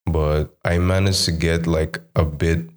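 A young man talking casually about making music, relaxed and repeating his words, slipping Portuguese words into his English. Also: low-pitched, unhurried, smooth. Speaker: musician guest. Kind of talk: casual chat.

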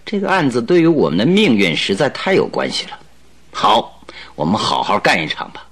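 Radio drama detective: confident, jovial, shrewd, highly animated intonation, theatrical. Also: male voice, brisk, husky, exaggerated voice. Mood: resolute. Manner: theatrical, hearty, chuckling delivery. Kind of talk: Mandarin radio drama acting.

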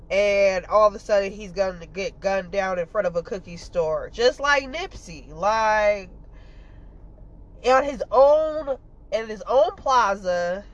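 A woman's voice: a little high, low-quality recording. A woman with a stuffy nose giving a casual, blunt commentary monologue as a podcast host, rambling and full of fillers and swearing.

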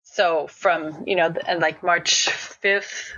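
Chatty American woman, slightly low voice. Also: steady tone, slow, sharp tone, slightly rough, dry recording. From United States. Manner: chatty and rambling, with many fillers and restarts. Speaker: conference organizer. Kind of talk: casual interview conversation. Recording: dull lo-fi sound.

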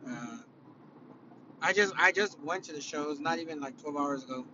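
A man from California with a raspy, tired voice hosting a casual solo podcast, rambling and swearing, full of fillers and restarts. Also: fairly high pitch, normal speed, lo-fi sound, noisy background. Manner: rambling, profane, opinionated, with many fillers and restarts. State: raspy, worn-out voice after concerts. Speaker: podcast host.

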